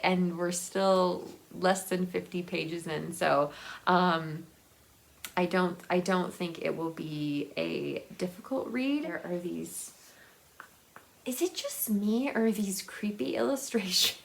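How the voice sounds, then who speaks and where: Female book vlogger, unhurried and rambling, enthusiastic, with noticeable vocal fry. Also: lowish voice. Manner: chatty, rambling, often giggly; enthusiastic about books. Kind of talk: casual unscripted book chat.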